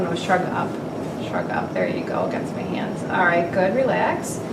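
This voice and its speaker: A woman giving a hands-on clinical demonstration, recorded at a distance from the mic with clear background noise. Her voice has a middling pitch, and she explains each step methodically. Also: echoey room. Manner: methodical, explanatory, lists anatomical terms. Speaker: clinical instructor demonstrating a physical exam. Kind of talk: instructional demonstration, narrating while examining.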